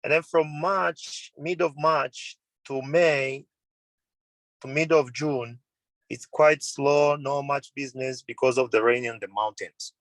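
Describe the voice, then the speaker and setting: A man's voice: mid-range voice, fairly even intonation, takes it slowly, fairly bright tone, no echo, rough recording. A man in his thirties from Tanzania, a mountain guide, answering interview questions on a video call in earnest, simple phrasing, often repeating and correcting himself. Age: 30s. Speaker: mountain guide and tour company owner.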